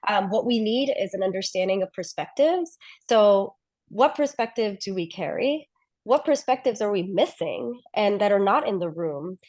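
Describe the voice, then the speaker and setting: A woman's voice: mid-range voice, a little mellow. A woman running for a board seat, answering a question on a video call in a reflective, thinking-aloud way. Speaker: board candidate.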